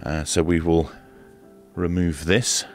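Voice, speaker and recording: A man's voice: low-pitched, level tone, slow, darkish tone, soft background music. A man presenting a hands-on product review in a casual, hesitant way with dry, understated humour. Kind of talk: casual hands-on unboxing commentary.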